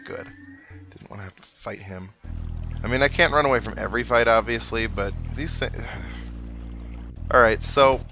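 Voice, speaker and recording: A man's voice: lowish voice, sharp tone, dull audio. A man giving casual video game commentary in a wry, sarcastic, mock-exasperated way. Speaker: host of a video game playthrough. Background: video game music.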